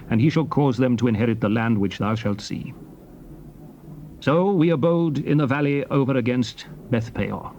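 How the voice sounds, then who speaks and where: A man's voice: medium pitch, a little mellow, lightly acted. An actor playing a solemn prophet and leader exhorting his people, declaiming archaic scripture in a formal, classical stage style. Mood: grave. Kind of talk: dramatized scripture reading, classical stage delivery. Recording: noisy old cassette tape recording.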